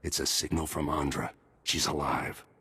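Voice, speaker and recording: An actor playing a stern, worried middle-aged army major and father in a video game, gruff and commanding. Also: flat delivery, normal speed, pushed and bright, no echo, heavily acted. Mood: bitter, worried. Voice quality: very deep, gravelly husky voice. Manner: gruff, commanding military delivery.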